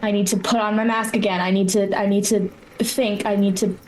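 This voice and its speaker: A woman with a mid-pitched, very even voice describes her tabletop character's feelings. She thinks aloud, searching for words and rephrasing them. There is noticeable noise in the background. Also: brisk, low-quality recording. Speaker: tabletop game player. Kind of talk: improvised narration of her character's inner state.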